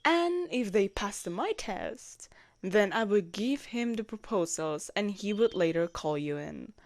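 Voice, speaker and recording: A woman playing a jealous, protective royal knight in an intimate audio roleplay, expressive and blunt in manner. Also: medium pitch, measured pace, no echo, clean studio sound. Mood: evasive, careful. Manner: expressive, blunt, sweary, teasing. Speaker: voice actor in a romantic audio roleplay.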